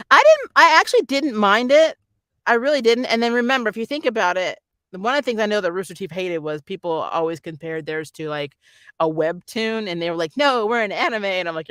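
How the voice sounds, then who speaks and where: A woman with a bright, forward voice gives a casual, rambling review to her viewers. She talks informally and animatedly, softening her opinions as she goes. The sound is dry, with very little room in it. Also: fairly low pitch, clean tone, no echo. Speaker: anime reviewer and vlog host.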